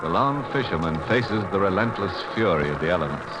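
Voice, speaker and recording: A man playing a ghoulish, darkly jovial host of an old radio horror show, with a slow, macabre, mock-cordial manner. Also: sits low, expressive, very noisy. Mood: ominous. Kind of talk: 1940s radio horror hosting. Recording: old radio recording with music behind.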